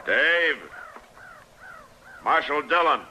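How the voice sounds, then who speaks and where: An actor playing a stern, fair frontier marshal with an American accent and terse, commanding delivery in an old-time radio western. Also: male voice, fairly high pitch, drawn-out words, bright, forceful tone, heavily acted. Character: stern, authoritative male frontier marshal, tough but fair. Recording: noisy vintage radio broadcast.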